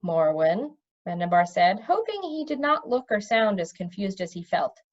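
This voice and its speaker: A woman's voice: medium pitch, very animated, moderate pace, dull audio. A woman narrating a storybook as she reads aloud. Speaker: narrator reading a book aloud.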